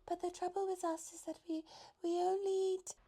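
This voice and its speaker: A woman voicing a polite, easily overawed and excitable female giraffe in a storybook, with a gushing manner. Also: very high voice, moderate pace, voice pushed up. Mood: apologetic, polite. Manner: gushing, exclaiming.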